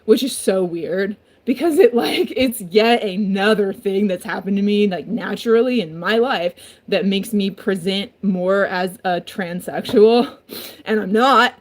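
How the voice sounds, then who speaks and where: Woman, heavy vocal fry, low smoky damaged voice, slow, halting, emotional. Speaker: vlogger. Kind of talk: confessional personal vlog.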